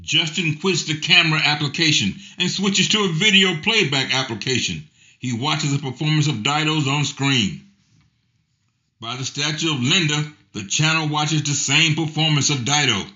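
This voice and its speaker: A man reading scripted narration in an even, announcer-like way. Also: a little high, moderate pace. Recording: dull, lo-fi sound.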